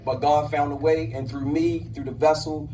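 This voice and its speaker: A man's voice: fairly high pitch, little pitch movement, normal speed, mellow, slight background noise. A man in his late forties giving an impassioned personal testimony to a gathering, emphatic and preacherly, with street slang. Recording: distant mic at a live event.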